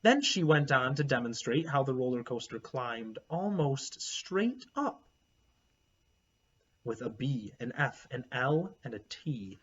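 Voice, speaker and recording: A man reading a children's storybook aloud expressively, pausing to explain things to his listeners. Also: medium pitch, slightly rough. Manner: animated, explanatory. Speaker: read-aloud narrator, teacher. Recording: dull, lo-fi recording.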